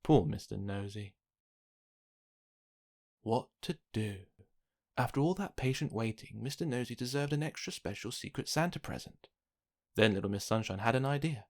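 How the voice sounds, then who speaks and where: A man's voice: medium pitch, animated, moderate pace, pauses often. Man reading a children's storybook aloud. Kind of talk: scripted storybook narration for children.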